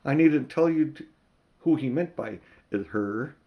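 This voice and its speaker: An older man narrating a children's story as an amateur audiobook reader, with dramatic emphasis and drawn-out words. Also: a little high, creaky, dull audio.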